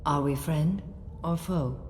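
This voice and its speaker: A woman playing a serene, solemn alien warrior bound by a code in a video game, speaking in a measured, formal way. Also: low-pitched, a little mellow, slight background noise. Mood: solemn challenge. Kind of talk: dramatic video game acting. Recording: music behind the voice.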